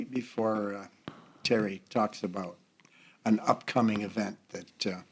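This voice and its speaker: Husky, lowish-voiced older man. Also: darkish tone. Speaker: seniors' group member. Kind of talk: casual chat.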